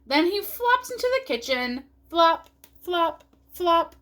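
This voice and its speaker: A woman reading a storybook aloud for children in an animated narrating style. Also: very high voice, takes it slowly. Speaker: storybook reader.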